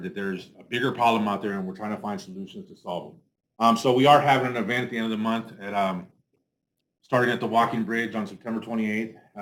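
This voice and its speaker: Loud, quick-talking man with a low voice. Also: a little hiss or hum, rough recording. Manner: loud voice, frequent "you know" and "um" fillers. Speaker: homeless outreach worker speaking at a council meeting. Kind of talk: unscripted public remarks. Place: council chamber, speaking without a microphone.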